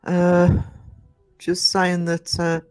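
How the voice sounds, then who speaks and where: A croaky man hosts a casual live stream from home, rambling along with plenty of ums and greeting viewers by name. His voice sits a little higher than most men's. Soft music plays faintly behind him. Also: monotone, drawn-out words. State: croaky from just waking up. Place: indoors at home. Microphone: desk microphone.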